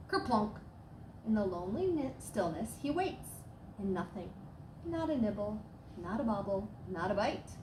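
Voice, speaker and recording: A woman reading a children's storybook aloud as a storyteller, with expressive, dramatic emphasis. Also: mid-range voice. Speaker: staff storyteller. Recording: roomy echo, clear background noise.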